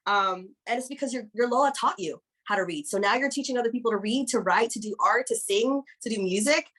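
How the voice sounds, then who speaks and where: A woman's voice: fairly high pitch, brisk, rough recording. Affirming woman interviewer, chatty. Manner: warm and affirming, many fillers. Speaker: interview host, company founder. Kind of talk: casual interview conversation.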